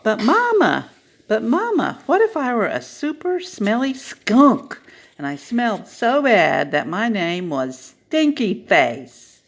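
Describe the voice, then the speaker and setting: A woman's voice: on the high side, wildly swooping pitch, slow pace, voice pushed up, full character voice. A woman reading a picture book as an eager, inquisitive small child pleading with a parent. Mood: eager, questioning. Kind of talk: picture-book read-aloud.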